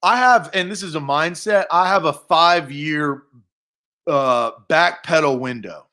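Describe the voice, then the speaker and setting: A man's voice: on the high side, unhurried, pushed and bright. A man in his thirties co-hosting a sports talk show, bantering in a playful, self-deprecating way. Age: 30s. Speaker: sports radio co-host.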